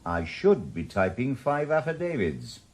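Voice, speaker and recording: An actor playing a stubborn, dry-witted retired detective in a 1980s radio drama, with a Welsh accent. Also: male voice, mid-range voice, sing-song, measured pace, darkish tone. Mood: dogged. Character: dogged retired detective, middle-aged man, stubborn. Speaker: retired detective turned private investigator.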